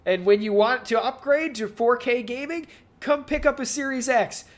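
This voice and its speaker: A man's voice: extremely high pitch, normal speed. A man speaks as a podcast co-host in a casual discussion. His delivery is rambling and analytical, as he works through his points aloud and often paraphrases others in mock quotes. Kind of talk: casual video game industry discussion.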